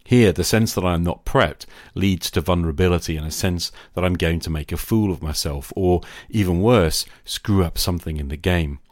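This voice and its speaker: A middle-aged man hosting a podcast, delivering a reflective scripted monologue in a measured, confessional manner. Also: deep voice, darkish tone, no room sound, studio quality. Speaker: podcast host.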